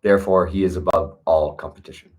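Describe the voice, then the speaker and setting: A man with a fairly low voice reads slow, solemn verses aloud. He speaks in a measured, earnest way and leans into key words for emphasis. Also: steady tone, a little mellow. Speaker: reader of a classic text. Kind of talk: solemn reading aloud with asides.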